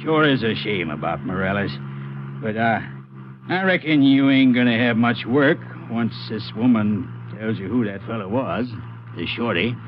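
An actor playing a folksy, shifty farmer with a rural Texan drawl in a 1950s radio western, eager to sound helpful. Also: male voice, mid-range voice, lively intonation, moderate pace, natural voice. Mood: chummy, over-eager. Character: folksy, shifty married farmer, slick-talking countryman. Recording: muffled, noisy 1950s radio recording.

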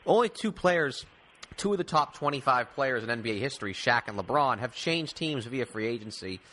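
A man's voice: mid-range voice, expressive, brisk, a bit bright, rough recording. A man hosting a casual sports interview, blunt with his opinions and repeating points for emphasis. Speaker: sports podcast host.

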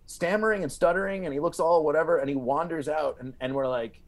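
A man telling comic stories as a guest comedian, in a halting way, repeating words and leaning on filler. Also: on the high side. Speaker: podcast guest, stand-up comedian. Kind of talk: animated comic storytelling.

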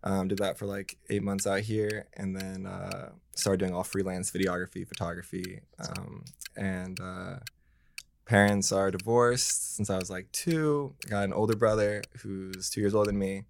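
A young man from the US, a dating game contestant, talking in a relaxed, hesitant way with lots of ums. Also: sits low, moderate pace, gravelly, slight background noise. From San Diego, USA. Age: young adult.

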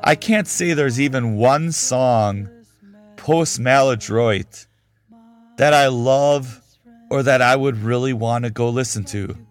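A man talks alone on his podcast in an easy, frank way, his voice starting to give out. He speaks slowly and unhurriedly. Faint music plays underneath. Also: mid-range voice. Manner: frank, conversational opinions. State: losing his voice. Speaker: podcast host. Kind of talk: casual solo commentary.

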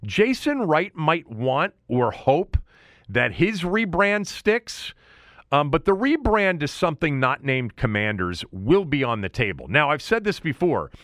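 A man hosting a sports talk show, giving opinionated commentary in deliberate, emphatic phrases with points repeated for weight. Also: a little high, sing-song, fairly bright tone, no room sound, clean studio sound. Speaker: sports talk podcast host.

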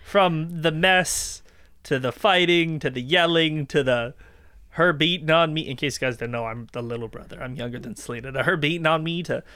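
A man's voice: a little high, normal speed, bright, forceful tone, no room sound. A young man with a Canadian accent, co-hosting a podcast in casual chat, telling anecdotes with a teasing manner. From Ontario, Canada. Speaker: podcast host.

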